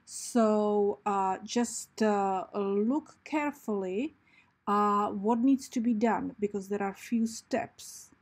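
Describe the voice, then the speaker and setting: A woman's voice: medium pitch, slow pace, breathy edge. A woman with a Czech accent, a craft channel host, patiently walking viewers through a tutorial step by step, with many "uh" fillers. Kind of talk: screen-recording tutorial.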